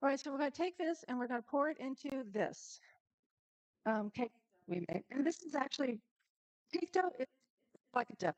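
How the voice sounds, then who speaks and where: Chatty higher-voiced woman, dry sound. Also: expressive, moderate pace, long pauses, darkish tone, no echo, rough recording. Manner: chatty, talks straight to viewers, laughs easily. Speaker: cooking stream host. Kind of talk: casual live-stream cooking demo.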